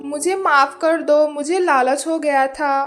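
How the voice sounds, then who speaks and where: A woman's voice: high-pitched, steady tone, measured pace. A woman voicing a sly, envious female crow in a children's cartoon, plaintive and defensive. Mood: guilty, pleading. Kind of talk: scripted children's cartoon story. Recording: music behind the voice.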